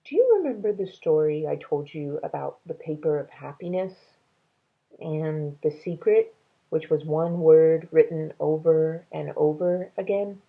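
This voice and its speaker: A gentle old husband read by a woman, weary and thoughtful, in a low, husky voice. He speaks softly and slowly, on a thin, tinny recording. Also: expressive, full character voice. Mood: soft, reflective. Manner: soft, slow, thoughtful.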